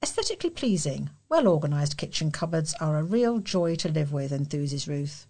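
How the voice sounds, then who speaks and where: Lower-voiced British woman reading aloud. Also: sing-song, normal speed, a little mellow, breathy edge. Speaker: volunteer newspaper reader. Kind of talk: scripted reading of lifestyle article.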